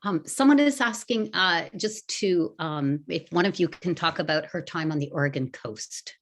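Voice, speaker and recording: A woman's voice: fairly low pitch, normal speed. A woman moderating an online panel, asking questions in an informal, tentative way.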